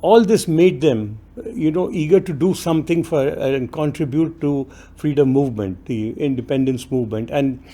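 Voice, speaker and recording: A man speaking in a documentary interview, halting with frequent fillers and restarts. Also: on the high side, mellow. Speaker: interviewee historian.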